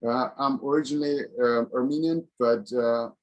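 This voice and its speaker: A man's voice: medium pitch, steady tone, highs cut off. Hesitant middle-aged man giving a talk. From Sweden. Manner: halting, earnest, many pauses. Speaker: guest speaker, engineer. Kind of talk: online colloquium presentation.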